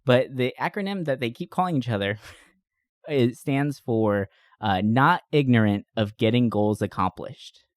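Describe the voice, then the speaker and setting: A young adult man hosting a podcast, recapping movies in a casual, comedic way with animated storytelling, swearing and slangy asides. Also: mid-range voice, measured pace, dry recording, studio quality. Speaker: podcast host.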